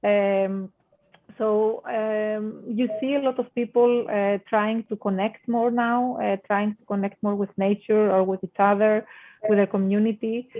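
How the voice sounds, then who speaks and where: A woman's voice: mid-range voice, little pitch movement, unhurried. Greek-born woman on a tinny call line. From Greece. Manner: thoughtful, hesitant with fillers. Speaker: designer, panel guest. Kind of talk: online panel discussion. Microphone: video call. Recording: tinny phone-like audio over video call.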